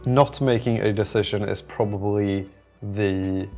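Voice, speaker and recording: A man's voice: on the low side, steady tone, a little slow, dark tone, faint music behind. A man talking casually in a vlog, thinking aloud in a rambling, reflective way and often trailing off mid-thought. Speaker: app developer documenting his work on a vlog.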